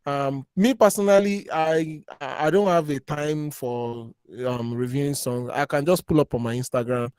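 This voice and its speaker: A man's voice: a little high, steady tone, normal speed, hardly any pauses, darkish tone, clean tone, no room sound. A man, co-hosting a casual chat, reading out viewer questions and reacting in slang.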